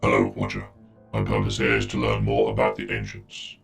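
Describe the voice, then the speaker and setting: An actor voicing a cold, inquisitive ancient battle machine in a game, his voice run through a robot filter and delivered with flat, clipped precision. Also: a little fast, soft background music, heavily acted. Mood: curious. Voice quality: extremely deep, gravelly, mechanical. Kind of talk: game cutscene dialogue.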